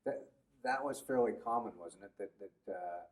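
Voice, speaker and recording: A man's voice: fairly low pitch, normal speed. A man interviewing an elderly veteran for an oral history project, asking hesitant, stammering questions.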